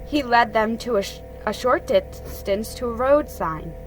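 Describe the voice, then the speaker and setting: Slow, expressive woman reading a children's story. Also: fairly high pitch, loud music behind the voice, poor audio.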